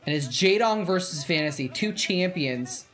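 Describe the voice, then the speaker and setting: A man hosting a live stream, chatting casually and reacting excitedly to the game he is watching. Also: fairly high pitch, moderate pace. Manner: chatty, rambling, gets excited and laughs at the action.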